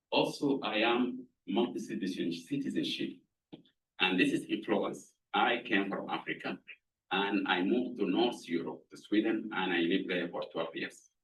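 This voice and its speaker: A middle-aged man from Sudan, speaking, gives an earnest, measured lab introduction as a professor, pausing to find words. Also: low voice, fairly bright tone. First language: Arabic. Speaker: professor presenting his lab. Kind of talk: prepared lab introduction talk. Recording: voice somewhat far from mic.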